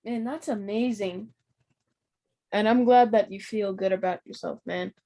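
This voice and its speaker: A woman's voice: mid-range voice, unhurried, dark tone. A woman hosting an interview, chatty and slangy, laughing easily with her guest. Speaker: interview host. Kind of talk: casual interview.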